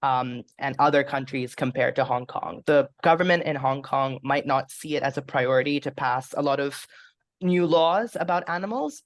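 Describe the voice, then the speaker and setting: Interviewer, mid pitch, thoughtful and hedging, filler-heavy questions. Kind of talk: conversational interview.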